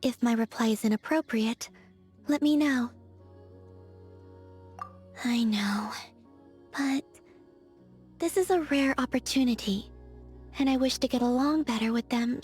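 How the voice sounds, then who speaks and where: An actress voicing a soft-spoken, aloof young woman in a game, with a breathy, whispery manner and slow, languid delivery. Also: on the high side, faint music behind. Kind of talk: video game character voice lines.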